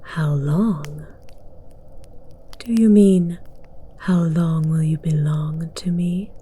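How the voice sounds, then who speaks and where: A woman plays a regal, possessive dragon queen in an ASMR roleplay. Her voice is very dark and velvety, soft and often whispered, and she commands seductively at an extremely unhurried pace. Noise sits behind the voice. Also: sits low, steady tone. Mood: possessive, coaxing. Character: possessive, seductive female dragon queen hoarding human pets.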